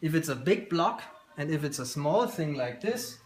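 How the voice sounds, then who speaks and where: A man's voice: a little high, lively intonation, moderate pace. A man in his thirties with a German accent, explaining his work informally on a workshop tour, often searching for words. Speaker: company founder giving a workshop tour. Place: small workshop room, indoors. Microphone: handheld camera a couple of metres away. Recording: roomy handheld video, background noise, clicks.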